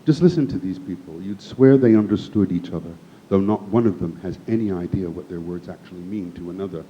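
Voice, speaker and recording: A man reading the lines of a playful, fastidious male god in a wry, measured way. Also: warm tone, close to an everyday voice. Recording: muffled with faint rumble.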